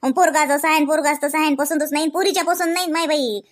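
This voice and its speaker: A high-pitched, chatty village auntie from a comedy cartoon, acted out by a woman. She talks in rustic Varhadi Marathi from Vidarbha. Her delivery is gossipy and full of exclamations. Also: fairly even intonation, fast talker, close to an everyday voice. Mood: complaining.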